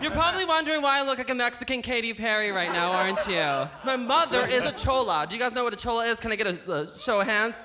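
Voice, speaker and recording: A man's voice: extremely high pitch, expressive, fast talker, few pauses. A drag queen comedian doing brash, crude stand-up on a stage into a handheld mic. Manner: brash, crude crowd banter. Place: theater stage with live audience.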